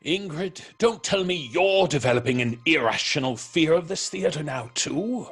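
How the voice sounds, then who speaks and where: Troubled, cautious troupe member for horror drama. Fairly high, animated pitch, earnest hesitant delivery. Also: male voice, a little slow, husky, faint music behind, full character voice. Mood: weary annoyance. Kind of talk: horror audio drama acting.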